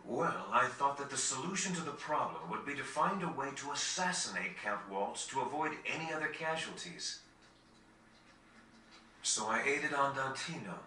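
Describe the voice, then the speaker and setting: A formal, troubled nobleman in a game cutscene. His voice is very bright and forceful at a middle pitch, with measured, earnest delivery. It sounds roomy and set back from the mic. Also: lively intonation. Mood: grave. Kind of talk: dramatic video game cutscene acting. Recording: roomy, distant game capture with faint noise.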